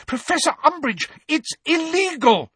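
A performer voicing a frantic, pleading teenage schoolgirl, shrill and cracked, urgent and tearful, in an audiobook story. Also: sing-song, a little slow, a bit bright, dry recording, exaggerated voice.

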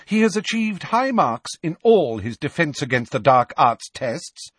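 A man voicing a stern, crisp older female professor who speaks curtly and haughtily. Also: a little high, sing-song, a little slow, fairly bright tone, no echo, clean studio sound, pitched above the performer's own voice, full character voice. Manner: clipped, curt, haughty.

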